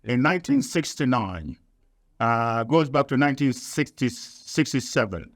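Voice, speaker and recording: A man from Sudan, giving deliberate, emphatic interview answers in nonstandard English. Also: mid-range voice, lively intonation, dry recording, studio quality. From South Sudan. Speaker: guest, activist.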